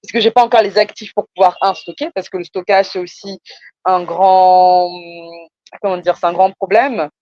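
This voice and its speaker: A woman's voice: medium pitch, steady tone, moderate pace, dark tone, no room sound, lo-fi sound. A woman from France with a Parisian accent, explaining her business conversationally, often hesitating and repeating words for emphasis. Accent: Parisian French. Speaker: entrepreneur being coached.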